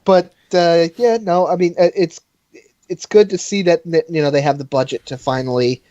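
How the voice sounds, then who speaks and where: A man's voice: on the high side, steady tone, normal speed, mellow, no echo. A man in his twenties chatting casually and expressively over slightly lo-fi online call audio. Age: 20s. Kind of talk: casual chat.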